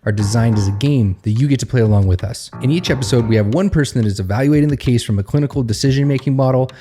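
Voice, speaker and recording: A man's voice: medium pitch, mellow. A man hosting and narrating a fictional case study in a scripted storytelling style. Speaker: host and narrator.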